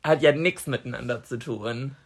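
A woman's voice: very deep voice, normal speed, a little hiss or hum. A woman in her thirties, a podcast co-host, telling an anecdote in casual chat and acting out what she said back then. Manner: animated storyteller, laughs often, acts out her own quotes.

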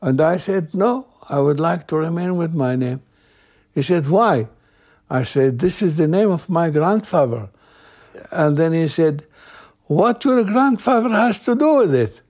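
A man in his eighties from Iraq with an Iraqi Arabic accent, a native Arabic speaker, telling personal memories in halting, repetitive phrases. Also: on the high side, lively intonation, darkish tone. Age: 80s. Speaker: interviewee recalling his youth. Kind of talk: personal oral storytelling.

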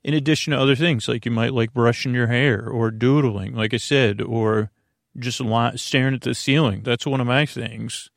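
Male podcast host for bedtime listening: gravelly, mid-pitched, halting and digressive, recorded close and dry. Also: steady tone, no echo. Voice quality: gravelly, creaky. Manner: meandering, halting, digressive, trailing off mid-sentence. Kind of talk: rambling sleep podcast intro, chatty monologue.

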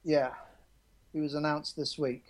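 A man's voice: fairly high pitch, little pitch movement, a little slow. A man being interviewed as a rugby journalist, talking candidly and often restarting his sentences. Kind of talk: conversational interview answers.

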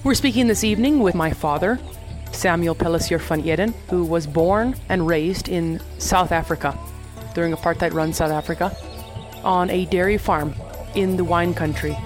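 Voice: mid-range voice, normal speed, faint music behind. A host introducing a guest and asking questions in an interview.

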